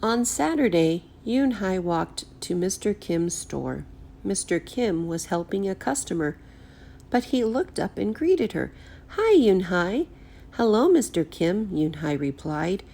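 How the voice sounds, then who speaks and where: A woman's voice: fairly low pitch, very animated, unhurried, creaky, mellow. A woman teacher reading a picture book aloud in a careful storytelling style for children. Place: indoors at home, echoey room. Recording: roomy, echoey home recording.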